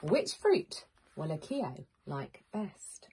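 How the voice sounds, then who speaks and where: A woman, a teacher reading a picture book to young children in an expressive, questioning manner. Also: sits low, drawn-out words, low-quality recording. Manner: expressive, questioning, clearly addressing small children. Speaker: primary school teacher. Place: small home room with bookshelves, indoors.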